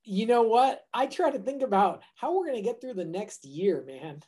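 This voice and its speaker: A man's voice: sits high, expressive, normal speed, darkish tone. A man co-hosting a podcast in casual chat, rambling and restarting his sentences as he thinks aloud. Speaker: podcast co-host.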